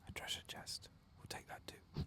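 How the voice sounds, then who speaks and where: A man giving gentle, unhurried ASMR game commentary, whispering and murmuring to himself. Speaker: streamer, game player.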